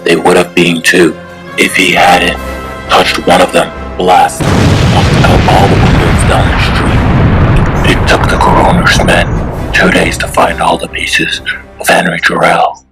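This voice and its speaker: A man performs a brooding masked detective of a superhero comic, pitched very low. He speaks in terse, deliberate, menacing phrases with little melody. Clear music plays behind him. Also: rough recording. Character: grim brooding male masked vigilante detective. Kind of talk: dramatic superhero comic acting.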